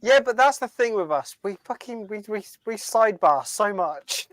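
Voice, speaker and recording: A man's voice: sits high, expressive, normal speed, fairly bright tone, dry recording. A man co-hosting a pop-culture podcast in casual, chatty conversation. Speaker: podcast co-host.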